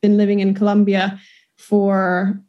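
A woman from the south of Sweden with a Swedish accent, chatting casually as a podcast guest, with many pauses and fillers. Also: mid-range voice, completely flat delivery, lo-fi sound. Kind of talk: casual chat.

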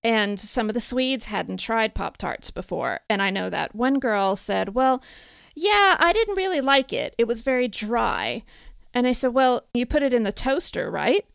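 An American woman in casual podcast talk, telling stories and laughing often. Her voice is a little high, with a gravelly fry under a smooth, resonant tone. The sound is close and dry. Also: big pitch swings, measured pace, a bit bright, dry recording. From United States. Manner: chatty storyteller, laughs often. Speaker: podcast host. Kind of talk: casual chat.

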